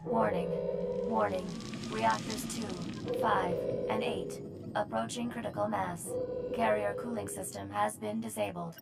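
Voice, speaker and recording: Game ship computer, clipped alarm announcements, gravelly creak, very loud music backdrop. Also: female voice, mid-range voice, steady tone, unhurried. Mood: urgent warning. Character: ship's computer announcing emergency warnings. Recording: loud music, engine sounds behind.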